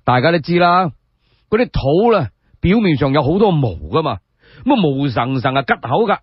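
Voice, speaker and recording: A man's voice: fairly high pitch, very animated, moderate pace, slightly rough, no echo, lo-fi sound. A man with a Cantonese accent telling historical tales as a solo radio storyteller, colloquial and dramatic.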